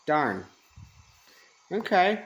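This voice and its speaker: An American man giving a casual, unscripted review, thinking aloud with hesitant pauses. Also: high-pitched, very animated, a bit bright, poor audio. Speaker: radio reviewer. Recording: clear radio static and hiss behind. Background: shortwave radio static and stations.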